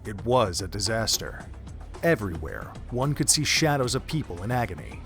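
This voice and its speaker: A man narrating a fan audio drama in a steady, measured storytelling manner. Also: fairly low pitch, soft background music.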